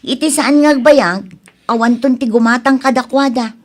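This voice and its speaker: A woman's voice: sits high, normal speed, close to an everyday voice. A woman with an Ilocano accent playing a gossiping daughter in a comedy radio drama. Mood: gossipy. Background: music.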